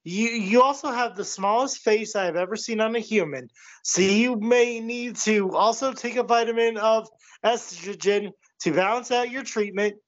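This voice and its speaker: A man playing a shady, brazen wrestling doctor in a comedic audio play, wordy and deadpan. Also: high-pitched, moderate pace, muffled recording, close to an everyday voice. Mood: glib, salesman-like.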